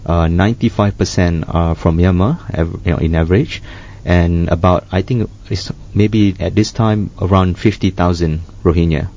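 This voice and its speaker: A man speaking as a humanitarian worker guest in a panel discussion, halting and full of restarts. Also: deep voice, fairly even intonation, dark tone. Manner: halting, many restarts and fillers. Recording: dull lo-fi studio sound.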